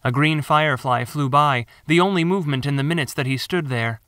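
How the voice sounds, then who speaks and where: A man reading his own story as scripted dramatic narration, measured and theatrical. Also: on the high side, sharp tone, no room sound, clean studio sound. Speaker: author narrating own audiobook.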